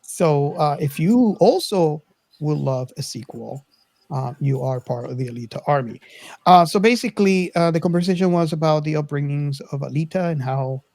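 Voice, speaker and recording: A podcast host talking casually to listeners and co-hosts, haltingly and searching for words. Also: medium pitch, expressive, a little mellow, no room sound.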